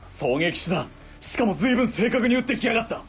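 A man's voice: animated, a little fast, faint music behind. A man playing a cool, commanding military spotter in a radio drama, clipped and composed. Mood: alert. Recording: faint rumbling background noise.

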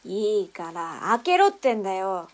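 An actress playing a tough, brusque female underworld boss and mother in a radio drama, speaking in a rough, mannish, commanding way. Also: medium pitch, sing-song, moderate pace, darkish tone, close to an everyday voice. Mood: bossy. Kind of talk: Japanese radio drama acting.

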